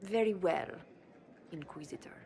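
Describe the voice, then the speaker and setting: A disdainful aristocratic minister in a fantasy game. Her voice is lowish and gravelly with fry, her languid and condescending. There is faint background noise. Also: unhurried. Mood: calculating. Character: haughty, disdainful female Orlesian government minister. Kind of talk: video game cutscene acting.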